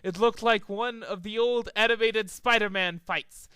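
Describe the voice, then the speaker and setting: A man's voice: high-pitched, a little slow, bright, forceful tone, smooth, no room sound. A man reading a story aloud as a dramatic amateur narrator, sometimes stumbling over the words. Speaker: amateur fan fiction reader.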